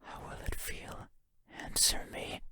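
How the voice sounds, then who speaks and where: A man voicing a lonely, curious shadow creature in a horror game, speaking in a breathy, halting, intimate whisper that is softly insistent. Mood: pleading, menacing. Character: eerie wandering shadow creature, lonely, curious, longing to be seen.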